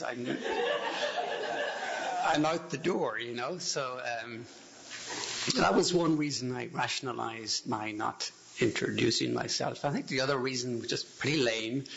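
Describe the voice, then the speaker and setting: Gravelly Irish man speaking to a congregation. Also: medium pitch, fairly bright tone, dull audio, a little hiss or hum. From Ireland. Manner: self-deprecating, rambling with restarts and chuckles. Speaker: guest speaker. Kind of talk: casual talk to a congregation. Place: church hall.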